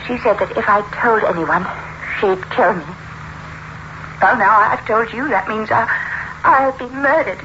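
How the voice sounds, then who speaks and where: A frightened, high-voiced, pleading woman. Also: measured pace, sharp tone. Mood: terrified. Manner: fearful, pleading, breathlessly insistent. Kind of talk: old-time radio thriller acting. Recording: muffled, noisy old radio recording.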